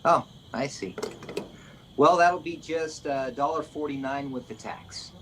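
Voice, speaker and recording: An actor playing a chatty, plain-spoken rural gas station attendant in a radio play. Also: male voice, on the high side, unhurried, fairly bright tone. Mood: folksy, casual. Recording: noisy with outdoor ambience effects.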